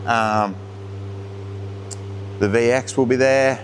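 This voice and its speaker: A man's voice: medium pitch, fairly even intonation, takes it slowly, poor audio. An Australian man talking casually and off the cuff as a car workshop vlogger, laid-back and plain-spoken with colloquial phrasing. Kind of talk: casual unscripted vlog talk. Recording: clear background noise of cars.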